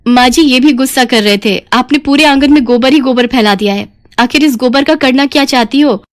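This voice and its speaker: A sensible young village daughter-in-law , put on by a female narrator. She is patient and polite, gently remonstrating in a fairly high voice suited to a cartoon moral story. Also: fairly quick, close to an everyday voice. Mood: exasperated.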